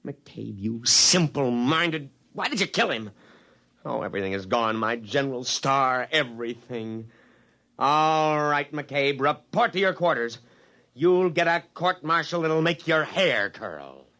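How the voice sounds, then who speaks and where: A man acting a pompous, excitable army officer in a blustering, dramatic 1950s radio drama style. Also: mid-range voice, unhurried. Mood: exasperated, despairing. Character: pompous, excitable male army officer narrating his downfall.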